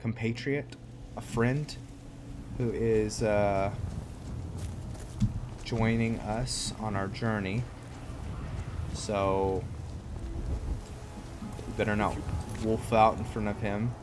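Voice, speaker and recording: A man giving casual game commentary, explaining lore and thinking aloud in a halting way. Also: lowish voice, fairly even intonation, background noise. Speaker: gamer narrating his roleplay playthrough. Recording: music behind the voice. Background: game music and game characters.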